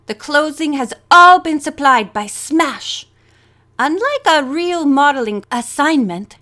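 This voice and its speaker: A woman's voice: sits high, fairly bright tone, exaggerated voice. A woman voicing an elegant French former model in her thirties, giving a formal speech with a faint French accent. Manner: formal, poised speech-making.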